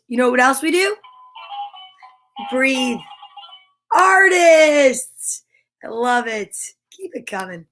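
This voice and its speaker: A woman's voice: high-pitched, a bit bright, rough recording. A woman hosting a live children's story time, reading aloud and chatting to viewers with playful, encouraging exclamations. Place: at home.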